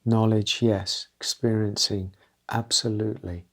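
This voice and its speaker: A man leading a guided meditation, talking gently and unscripted in short, halting phrases with pauses between them. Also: lowish voice, level tone.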